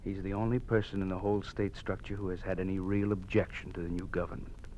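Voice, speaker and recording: An actor playing a cold, menacing regime enforcer in an old-time radio drama, curt, smug and threatening. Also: male voice, sits low, little pitch movement, quick pace, dark tone, dull audio, lightly acted. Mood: cold, insistent. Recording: faintly noisy old radio recording.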